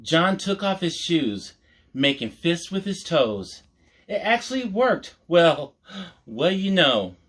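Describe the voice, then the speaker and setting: Slow, animated man reading a storybook aloud. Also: a little high. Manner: measured, mock-earnest children's storyteller. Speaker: storytime host reading a picture book aloud. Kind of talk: storybook read-aloud with asides to the listener.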